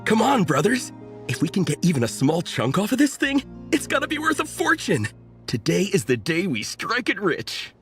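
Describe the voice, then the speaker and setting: A man's voice: sits high, wildly swooping pitch, moderate pace, a bit bright, soft background music, full character voice. A man playing a brash, greedy treasure hunter in a video game. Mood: greedy, rallying. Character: brash, greedy male treasure hunter rallying his brothers.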